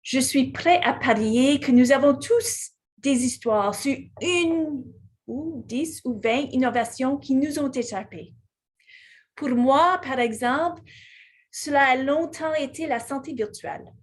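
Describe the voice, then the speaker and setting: Slow, husky woman. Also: sits high. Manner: slow and deliberate, with small restarts. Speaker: conference speaker. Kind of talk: prepared keynote speech.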